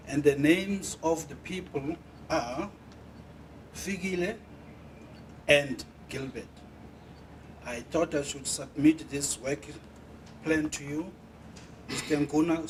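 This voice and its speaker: A man playing a slow, polite office supervisor. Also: on the high side. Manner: deferential, measured. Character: polite male supervisor submitting an overtime plan. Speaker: supervisor in a workplace role-play. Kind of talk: amateur workplace role-play. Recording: distant mic with room noise.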